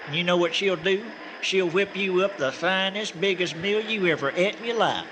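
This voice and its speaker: A man's voice: high voice, normal speed, background noise, close to an everyday voice. An actor playing a folksy, bossy, good-hearted small-town man with a Southern American accent in a comic radio drama. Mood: friendly, persuasive. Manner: folksy, insistent, talkative. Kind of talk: comic old-time-style radio drama.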